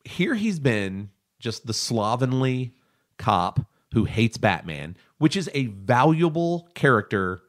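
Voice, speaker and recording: A man's voice: mid-range voice, very animated, takes it slowly. A man hosting an analytical discussion podcast, speaking in an emphatic, opinionated way. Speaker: podcast host discussing animation.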